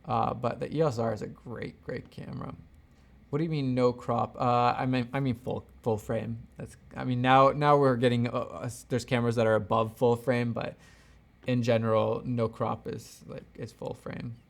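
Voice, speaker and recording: A man with plenty of vocal fry talks casually as a livestream host. He thinks aloud and rambles, often doubling back on his own thought. His delivery is unscripted and conversational, like an online creator answering questions from viewers. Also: medium pitch, flat delivery, normal speed. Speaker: camera gear YouTuber hosting a livestream.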